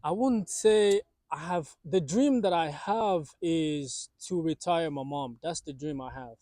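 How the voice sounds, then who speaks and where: A young man in his twenties talks into his phone from a parked car, rambling loosely and circling back on himself. His voice sits a little on the high side for a man, and the sound is close and dry with almost no room around it. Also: unhurried, dark tone, no room sound. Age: 20s. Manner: rambling, filler-heavy, restarts often. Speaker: vlogger. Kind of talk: casual vlog monologue. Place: parked car in a parking lot.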